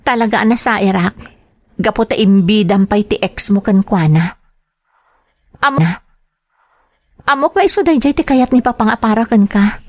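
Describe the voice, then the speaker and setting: Woman acting a meek hurt young wife in a radio drama, apologetic, medium pitch, very dry sound. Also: lively intonation, normal speed, long pauses, darkish tone, no room sound, close to an everyday voice. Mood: hurt, upset. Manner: apologetic, deferential. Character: hurt, dutiful young wife living with disapproving in-laws.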